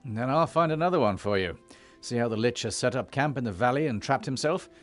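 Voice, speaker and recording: An actor playing a noble, resolute young warrior leader in a fantasy game, earnest and commanding. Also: male voice, mid-range voice, animated, fairly quick, soft background music, natural voice. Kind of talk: fantasy video game voice acting.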